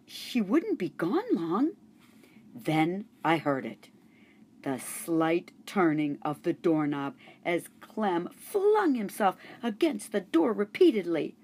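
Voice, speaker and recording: A woman reading a children's chapter book aloud, narrating in first person with an expressive storytelling voice. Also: medium pitch, slightly rough. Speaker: storybook reader.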